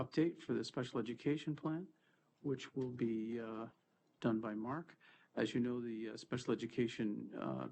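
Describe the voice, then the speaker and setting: A man's voice: medium pitch, darkish tone, a little hiss or hum. A man with a cold, sounding a little stuffy, chairing a formal committee meeting and moving through agenda items. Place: board meeting room.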